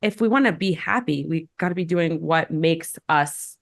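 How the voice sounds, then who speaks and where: A woman's voice: sits low, moderate pace, gravelly. A woman hosting a podcast interview, supportive and affirming as she reflects and asks questions. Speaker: podcast host. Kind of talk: casual interview chat.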